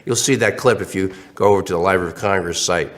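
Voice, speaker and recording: A man's voice: deep voice, fast talker. A man lecturing online, slightly congested from a cold, with many restarts and fillers. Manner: animated, acts out questions in other voices.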